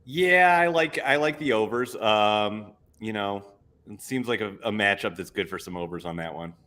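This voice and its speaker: A man's voice: fairly low pitch, moderate pace, sharp tone. A man co-hosting a casual sports betting show, upbeat and eager as he talks through his picks. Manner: upbeat, enthusiastic. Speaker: co-host.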